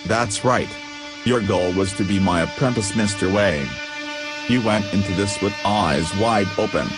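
A man's voice: on the low side, measured pace, lightly acted. A man playing a cold, calculating, commanding manhunter villain in a comic-book drama. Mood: cold, menacing. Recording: loud music under the voice.

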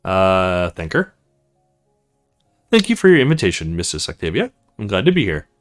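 A man's voice: sits low, wildly swooping pitch, moderate pace, faint music behind, voice pushed down. A man voicing an awkward young male recruit in a visual novel, hesitant and grumbling to himself.

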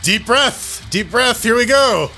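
A man's voice: very high-pitched, lively intonation, takes it slowly, pushed and bright, slight background noise. A man co-hosting a podcast in casual chat, stammering and repeating words as he jokes playfully. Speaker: podcast co-host.

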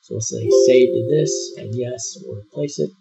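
A man giving a screen-recorded software tutorial, walking through each step in a relaxed way with frequent fillers. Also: medium pitch, normal speed, vocal fry, soft background music. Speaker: software instructor. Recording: dull lo-fi voice-over.

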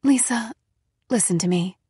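A woman's voice: on the high side, unhurried, warm tone, husky, dry recording, studio quality. A woman voicing a worried, skeptical and bossy middle-aged mother in an audiobook story. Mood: gently worried. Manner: questioning, insistent, scolding.

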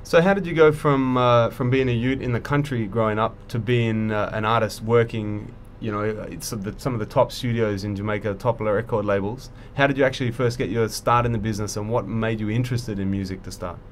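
A man interviewing a guest in a studio, asking calm, brief questions. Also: on the low side, a little fast, few pauses, slight background noise. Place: recording studio.

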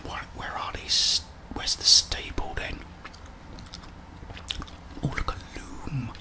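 Whispering man, slow and hushed. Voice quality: breathy, unvoiced whisper. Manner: muttering to himself, mild grumbling. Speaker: gamer, ASMR video host. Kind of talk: whispered ASMR gameplay commentary. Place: indoors, small room at home.

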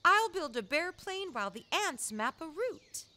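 A woman's voice: very high-pitched, sing-song, a little slow, bright, forceful tone, pitched above the performer's own voice, full character voice. A woman voicing a small, timid but eager young bear cub in a storybook, wondering and earnest. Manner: wide-eyed, wondering, earnest.

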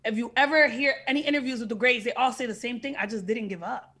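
Bright, frank woman with Dominican-tinged English. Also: on the high side, fast talker, breathy edge. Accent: Dominican Spanish-tinged English. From Dominican heritage. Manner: frank, emphatic, streetwise, swears freely. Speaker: actress guest. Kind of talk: casual podcast interview. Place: indoor room with plain backdrop. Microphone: video call.

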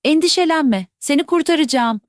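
A woman's voice: sits high, expressive, normal speed, dry recording, clean studio sound, voice pushed up. A woman voicing a brave little rabbit. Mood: eager. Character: brave curious young rabbit.

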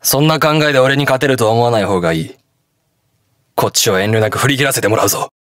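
Game hero, male actor: stern young police detective in armor, gruff and defiant, very animated pitch, dry recording. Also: fast, no echo, natural voice. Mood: cool, menacing. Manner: gruff, terse, defiant delivery. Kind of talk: video game battle voice lines in Japanese hero-show acting.

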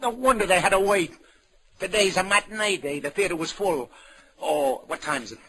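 An actor playing a brisk Broadway police detective in an old-time radio drama, American accent, clipped and businesslike. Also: male voice, high voice, bright, forceful tone, a little hiss or hum. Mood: thoughtful. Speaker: lead actor.

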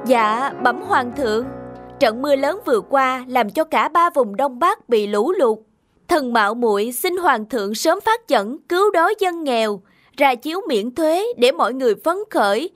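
A woman voicing an earnest, compassionate male governor in an animated folk tale, respectful and pleading. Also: high-pitched, normal speed. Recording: faint music underneath.

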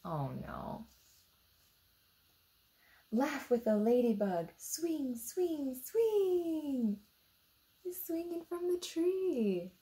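American lady doing kids storytime, super expressive swoopy pitch, slow n soft mellow tone, asks little questions. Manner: expressive, simple questions addressed to young listeners. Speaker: storytime reader for children. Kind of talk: animated children's picture book read-aloud with asides. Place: indoor room beside a book display.

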